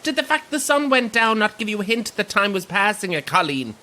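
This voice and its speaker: An actor with an Irish accent playing a brash, sarcastic coach tour guide in an audio drama, theatrical and teasing. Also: male voice, high voice, very animated, fairly quick, pushed and bright, exaggerated voice. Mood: exasperated.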